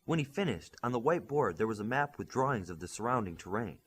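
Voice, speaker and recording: A man's voice: medium pitch, big pitch swings, fast talker, husky. A man reading scripted fiction as an audiobook narrator.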